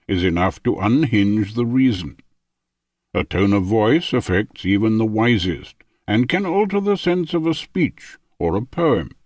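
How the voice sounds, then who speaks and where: A man narrating a philosophical audiobook in a formal, measured manner. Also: medium pitch, darkish tone, no echo. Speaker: audiobook reader.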